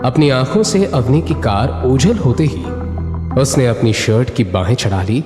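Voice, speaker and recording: Animated male narrator in heavy reverb. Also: medium pitch, measured pace. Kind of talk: dramatic scripted narration. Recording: roomy echo with long reverb. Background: music.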